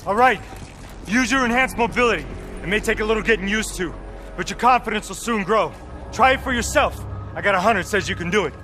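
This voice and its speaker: A man's voice: high voice, fairly quick, sharp tone, soft background music. An actor playing a brash, friendly male soldier in a video game, coaching in slangy, profane, swaggering speech. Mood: encouraging. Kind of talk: game cutscene voice acting, informal coaching.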